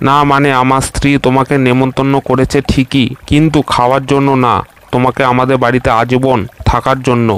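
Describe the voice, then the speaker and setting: A lazy, plump crocodile husband from a cartoon, played by a man, with a mid-range voice that sounds meek. His delivery is flat and steady and rarely lifts. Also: medium pitch, brisk, clean tone, lightly acted. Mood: easygoing. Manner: easygoing and meek. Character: lazy, plump, soft-hearted male crocodile. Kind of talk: cartoon voice acting.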